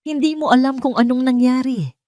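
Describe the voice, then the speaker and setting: A woman's voice: high-pitched, fairly quick, mellow, no room sound, pitched above the performer's own voice, natural voice. Woman acting male doctor, anguished, intense, determined, emotional, dry audio drama sound. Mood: angry.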